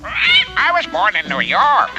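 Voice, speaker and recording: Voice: medium pitch, wildly swooping pitch, takes it slowly, muffled recording. An actor voicing a chirpy male parrot in a 1960s TV cartoon, repeating lines in a sing-song way. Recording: music bed under dialogue.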